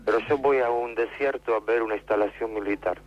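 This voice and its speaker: An actor playing a rambling, easily offended eccentric who phones in to a radio comedy show. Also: male voice, medium pitch, fairly even intonation, a little fast, highs cut off, close to an everyday voice.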